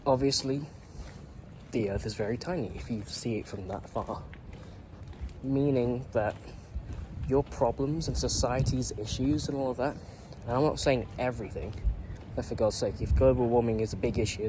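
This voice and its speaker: A man's voice: mid-range voice, normal speed, background noise. A man in his late teens, a YouTuber, talking through a rambling, earnest reflection and swearing casually.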